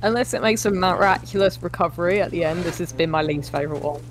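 A podcast co-host giving wry, unimpressed, casual reactions to a movie that plays loudly behind. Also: medium pitch, quick pace. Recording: loud movie soundtrack over the voice. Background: loud film music.

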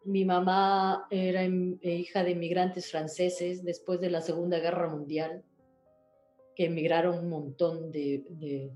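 A woman's voice: lowish voice, flat delivery, measured pace, dark tone, roomy sound, faint music behind. A woman talks casually as an interview guest, in a chatty, rambling way, often checking back with the listener. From Ecuador.

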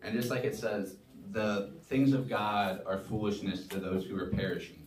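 Low-voiced guy preaching, reads scripture slow and firm, mic kinda far off. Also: a crowd in the background. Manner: measured, emphatic scripture reading. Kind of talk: church service reading and preaching. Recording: roomy sound from a distance.

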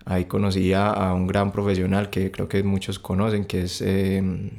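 A young man with a Bogotá Colombian accent, telling his story as a podcast guest in a halting, relaxed way with many restarts. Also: low-pitched, creaky.

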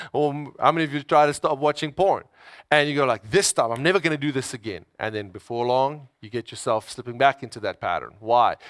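A man's voice: a little high, a bit bright, no echo, clean studio sound. A man preaching a conversational sermon, emphatic, repeating phrases and voicing people's inner thoughts in everyday words.

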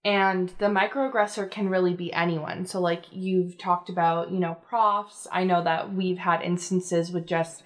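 A young woman in a casual conversation, explaining ideas in a measured way with frequent fillers. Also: lowish voice, fairly even intonation.

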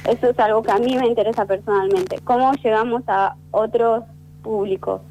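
A woman guest, French by first language, speaking thoughtfully with many pauses and restarts in an interview. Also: fairly high pitch, fairly even intonation, mellow, rough recording. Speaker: interview guest, actress and writer. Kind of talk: conversational interview.

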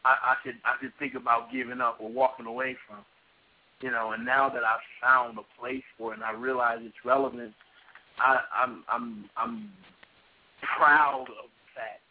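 Gravelly man on a phone-like line. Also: mid-range voice. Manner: halting, repeats words, thoughtful. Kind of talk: casual group discussion.

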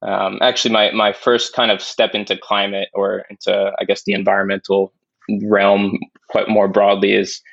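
Young male podcast guest, low gravelly voice, casual and thoughtful, close and dry. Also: completely flat delivery, normal speed, a bit bright, no room sound. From born in Spain, raised in New York. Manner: thoughtful, many restarts and fillers. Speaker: podcast guest, climate investor. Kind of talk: casual interview talk. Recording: dull dry lo-fi sound.

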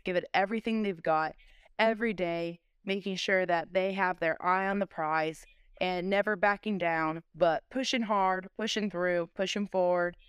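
A woman gives interview answers as a sports coach. Her voice is strikingly clean and smooth, at a middle pitch, in a dry recording. She speaks in emphatic, driven phrases that repeat and build. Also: no echo.

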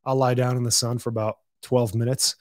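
A man in his late twenties hosting a casual talk show, telling relaxed stories and laughing easily. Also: mid-range voice, brisk, no room sound, studio quality. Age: 20s. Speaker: host. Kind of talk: casual podcast chat.

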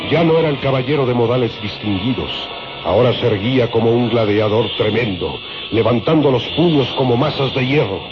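A man's voice: medium pitch, lively intonation, a little fast. A man giving declamatory, dramatic scripted narration for an old-time radio serial. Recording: dull lo-fi radio recording, loud music.

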